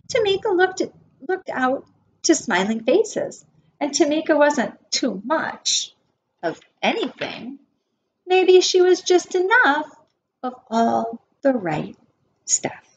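A woman in her forties reading a picture book aloud to preschoolers as their teacher, expressively and with measured emphasis on key words. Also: high voice. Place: small wood-panelled room indoors. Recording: dull webcam audio with faint noise.

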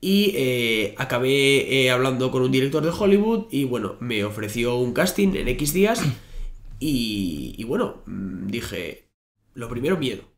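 A man's voice: medium pitch, measured pace. Young man telling stories in an echoey office. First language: Spanish. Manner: animated storytelling, many fillers and restarts. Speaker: podcast guest. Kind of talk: casual interview talk. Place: small office studio. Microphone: desk microphone. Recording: echoey room.

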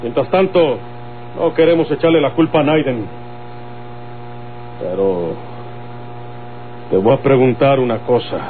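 An actor playing a grave, measured bandit leader with a Mexican accent in an old radio drama. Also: male voice, medium pitch, narrow, tinny audio, close to an everyday voice. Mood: firm, commanding. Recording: thin old radio recording with mains hum.

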